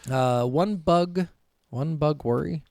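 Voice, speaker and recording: A man's voice: fairly high pitch, takes it slowly, creaky, darkish tone, dry recording, studio quality. A man co-hosting a podcast, chatting informally with plenty of swearing and commenting on his notes as he goes. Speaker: podcast co-host. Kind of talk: casual chat, reading notes and commenting.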